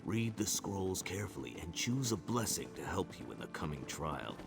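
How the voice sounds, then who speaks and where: A man playing a stern, devout orc elder in a fantasy video game, with a gravelly voice and a grave, measured, cryptic manner. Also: very animated, full character voice. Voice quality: very deep, gravelly. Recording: background music under the voice.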